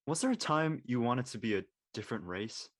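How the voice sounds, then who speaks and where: A man's voice: medium pitch, expressive, a little fast, no echo, studio quality, natural voice. A man playing a curious, casual teenage nephew in a live script reading. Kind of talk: naturalistic live table-read acting.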